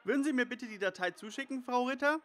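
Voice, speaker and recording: A man's voice: very high voice, quick pace, a bit bright. A man playing an eager, nerdy teenage boy detective in an audio drama parody. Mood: eager, confident.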